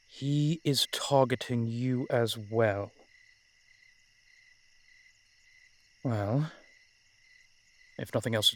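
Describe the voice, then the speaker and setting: A man playing a centuries-old vampire general in a roleplay audio drama, protective and proud, speaking with courtly, measured phrasing and dramatic pauses. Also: mid-range voice, a bit bright. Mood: grave, indignant. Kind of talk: roleplay audio drama, addressed intimately to one listener.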